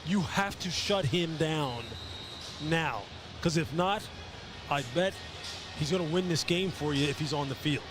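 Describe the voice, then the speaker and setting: A man's voice: fairly high pitch, unhurried, over music. A man giving unscripted, conversational football analysis on a broadcast, often repeating his words. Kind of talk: unscripted televised game analysis. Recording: noisy stadium game broadcast.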